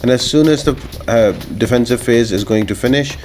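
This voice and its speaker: A man's voice: mid-range voice, brisk, a little mellow, soft background music. A man doing instructional commentary for a video game guide, explaining tactics step by step. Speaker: gaming video creator.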